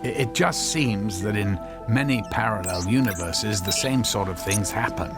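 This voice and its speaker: An actor playing an earnest, anxious physicist husband in a radio drama, explaining his invention in halting, stammering phrases. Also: fairly low pitch, loud music behind the voice, close to an everyday voice. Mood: earnest, explanatory.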